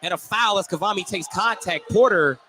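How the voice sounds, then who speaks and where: High-voiced man calling live basketball. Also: normal speed. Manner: clipped descriptive phrases, excited on big plays. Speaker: play-by-play radio sports announcer. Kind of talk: live basketball play-by-play commentary. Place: indoor basketball gym, courtside. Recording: noisy live gym ambience behind commentary.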